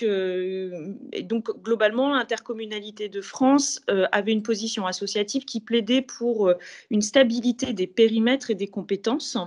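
A middle-aged woman on a video call, explaining a new law in a measured way, often pausing with fillers and restarting her sentences. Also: medium pitch, darkish tone. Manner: measured, halting, with frequent filler hesitations and restarts. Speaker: vice-president of an association of intercommunal bodies. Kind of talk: interview answer on local government law. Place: indoor room, on a video call.

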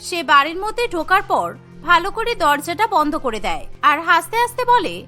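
A woman's voice: extremely high pitch, very animated, brisk, sharp tone, soft background music. A woman narrating a scripted cartoon story in a storytelling manner.

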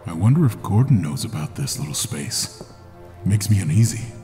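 Sardonic, wary guy, played by an actor: mellow, lowish voice, dry audio drama delivery with faint music. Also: big pitch swings, normal speed. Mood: uneasy. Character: uneasy, sarcastic man showing off his backroom.

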